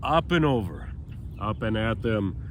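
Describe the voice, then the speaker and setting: A man leading a stretching routine as fitness instructor, cueing calmly and chatting in between, with a slightly stuffy nose, outdoors. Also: fairly low pitch, measured pace, noisy background. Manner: calm, chatty cueing with breathy sighs.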